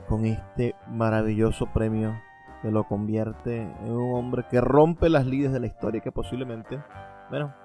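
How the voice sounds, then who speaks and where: A man's voice: mid-range voice, mellow. A man with a Venezuelan accent hosting a literary radio show, commenting in an effusive, admiring way. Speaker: radio host. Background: music.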